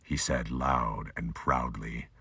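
A man's voice: extremely low pitch, steady tone, takes it slowly, sharp tone, slightly rough, dry recording, studio quality. A man giving scripted audiobook-style narration of a fantasy audio drama. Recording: noisy amateur home recording, clicks.

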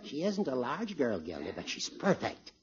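An actor playing a kindly older judge and family friend in a 1950s radio comedy, gentle and genteel in manner. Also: male voice, medium pitch, wildly swooping pitch, normal speed, a faint crowd. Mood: gentle, pleased. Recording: muffled vintage radio recording.

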